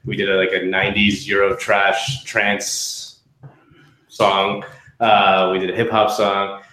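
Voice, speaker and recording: A man in his thirties with a fairly low voice talks casually in a roomy, echoing space. He tells stories as a guest, haltingly, leaning on filler words and poking fun at himself. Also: completely flat delivery, bright, forceful tone. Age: 30s. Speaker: podcast guest. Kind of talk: casual chat, anecdotal. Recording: roomy echo.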